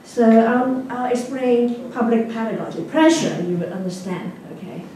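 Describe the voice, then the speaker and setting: A woman's voice: fairly high pitch, moderate pace, dark tone. Lecturing woman in an echoey hall. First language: Cantonese. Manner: chatty, checks in with "okay?". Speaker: guest lecturer. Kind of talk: academic lecture. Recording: very echoey hall from far off, faint noise.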